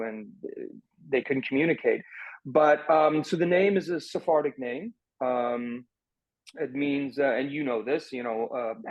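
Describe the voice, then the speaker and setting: A man's voice: medium pitch, dry recording. Man, video call guest, rambling with fillers, muffled laptop sound. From Germany. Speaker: actor, interview guest. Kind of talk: casual conversation.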